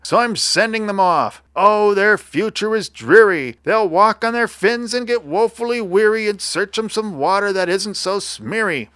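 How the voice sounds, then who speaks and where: Storybook creature, old and indignant, high-pitched, croaky, scolding, pleading, close dry sound. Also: male voice, measured pace, hardly any pauses, fairly bright tone, no room sound. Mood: mournful.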